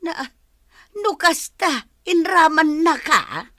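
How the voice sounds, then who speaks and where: A woman's voice: very high-pitched, animated, takes it slowly, pushed and bright, dry recording, clean studio sound, exaggerated voice. An actress with an Ilocano accent playing a hard-up, plain-spoken village mother in a radio comedy drama. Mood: gossipy.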